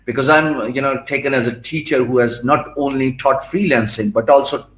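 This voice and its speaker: A man's voice: mid-range voice, steady tone, a bit bright, reverberant, low-quality recording. A middle-aged man from Pakistan with a Pakistani accent, speaking English as a second language after Urdu, answering interview questions fluently but with many fillers and restarts. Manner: fluent but filler-heavy, with frequent restarts and emphatic pauses. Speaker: guest.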